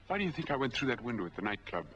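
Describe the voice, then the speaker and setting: An American actor playing a cornered, earnest middle-aged drifter in a 1940s film noir, reasoning and pleading. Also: male voice, mid-range voice, sing-song, very fast, fairly bright tone. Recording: dull 1940s film soundtrack.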